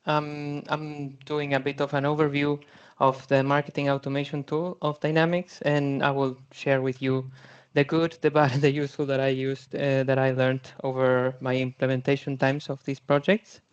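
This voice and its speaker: A man's voice: mid-range voice, level tone, moderate pace, clean tone, dull audio. A man from Spain with a Spanish accent, a guest speaker giving a friendly but hesitant presentation at a virtual user group, with many fillers and short laughs. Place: online video call.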